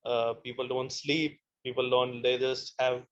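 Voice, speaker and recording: A man from India, an event planner talking haltingly with many fillers on an online panel. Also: mid-range voice, little pitch movement, a bit bright, muffled recording.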